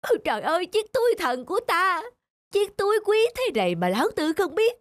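Greedy, frantic old miser. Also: male voice, very high-pitched, fairly quick, heavy background noise, heavily acted. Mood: greedy delight. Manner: muttering to himself, exclaiming excitedly. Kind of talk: animated folktale cartoon acting. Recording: music under the voice.

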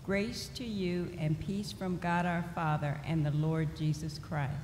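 A middle-aged woman reading scripture aloud at a church lectern in a slow, deliberate way. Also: fairly low pitch, steady tone, a little mellow. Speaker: lay reader. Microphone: lectern microphone. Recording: echoey church hall with faint noise.

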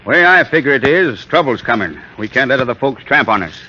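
Bright-voiced neighbourly colonial man. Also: medium pitch, lively intonation, fairly quick. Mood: neighbourly, earnest. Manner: folksy, laconic. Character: neighbourly colonial man, earnest Minuteman recruiter. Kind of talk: 1940s radio acting. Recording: muffled, noisy 1940s radio recording.

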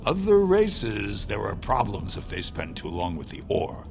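A shady dwarf smuggler. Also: male voice, huge pitch swings, a little slow, gravelly, background noise, full character voice. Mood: curt. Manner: sly, persuasive sales pitch. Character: shady middle-aged male dwarf smuggler, wheedling. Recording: game audio with music behind.

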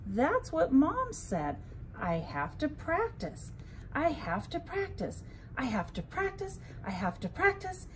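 A woman's voice: sits high, huge pitch swings, slow pace, slight background noise, pitched above the performer's own voice, full character voice. A woman voicing a young male lightning bug in a storybook, small, discouraged but determined.

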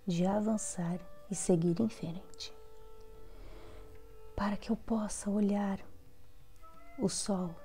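A Brazilian woman leading a guided meditation as a devotional prayer, in a soft voice that turns breathy at phrase ends, with measured pauses between short phrases. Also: mid-range voice. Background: soft background music.

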